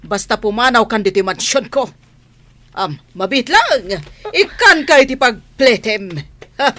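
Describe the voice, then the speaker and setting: An actress with a Filipino accent playing a haughty, cruel mistress of a mansion in a radio drama, imperious and scolding. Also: female voice, a little high, animated, unhurried, pushed and bright. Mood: dismissive.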